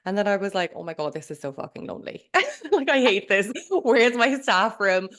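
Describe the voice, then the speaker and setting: A woman's voice: mid-range voice, very animated, moderate pace, fairly bright tone. An Irish woman in her thirties, a coach chatting as a podcast guest in a rambling, candid way. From Ireland. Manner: chatty, rambling, swears and self-interrupts. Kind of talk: casual interview chat.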